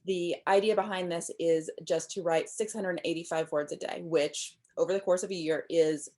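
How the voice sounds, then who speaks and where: A woman's voice: lowish voice, steady tone, moderate pace, darkish tone. A woman in her thirties, a novelist guest, explaining her ideas on writing with enthusiasm and frequent ums over a video call from a room at home. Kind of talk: casual interview chat.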